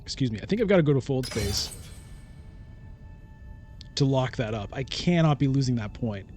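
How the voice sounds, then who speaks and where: A man giving casual game commentary as a let's-play host, thinking aloud in a halting, deliberating way and often questioning himself. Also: mid-range voice, expressive, a little mellow, faint music behind. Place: solo recording of a digital board game playthrough.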